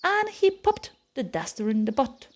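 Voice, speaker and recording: A woman narrating a children's picture book aloud in an expressive, deliberate storytelling style. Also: high-pitched.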